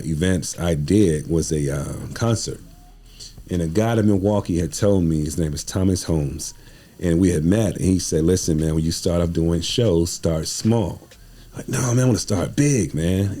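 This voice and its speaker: Very deep, mellow-voiced man in his forties. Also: moderate pace. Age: 40s. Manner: earnest, informal street-inflected talk. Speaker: playwright and producer, podcast guest. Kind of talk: casual interview storytelling.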